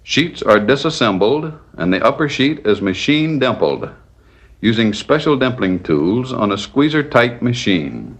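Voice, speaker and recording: A man narrating a scripted 1940s instructional film in a measured, didactic, step-by-step manner. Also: mid-range voice, sing-song, slightly rough.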